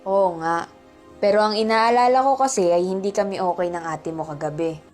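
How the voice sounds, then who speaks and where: An anxious but stern mother in a school radio drama, played by a woman. Her medium-pitched voice questions and orders like a parent, with faint music under it. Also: normal speed, a little mellow, lightly acted. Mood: worried. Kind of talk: amateur radio drama acting. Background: background music.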